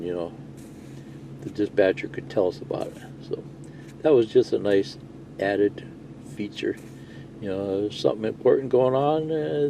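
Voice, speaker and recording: A man's voice: on the low side, vocal fry, warm tone, a little hiss or hum, poor audio. A middle-aged man giving a casual, rambling, plain-spoken hands-on walkthrough of a gadget, explaining as he goes. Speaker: CB radio video presenter.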